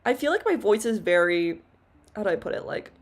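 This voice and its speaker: A woman's voice: very animated, fairly quick. Chatty young woman, full of "like"s. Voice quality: low-ish, loud voice. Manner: rambling, filler-heavy, giggly, lots of "like". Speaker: VTuber streamer. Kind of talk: casual Q&A livestream chat.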